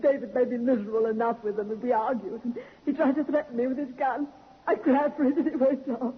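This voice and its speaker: A woman's voice: on the high side, fairly even intonation, quick pace, breathy edge, highs cut off. An actress playing a desperate young woman in a vintage radio drama, speaking in a pleading rush. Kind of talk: 1950s radio drama acting.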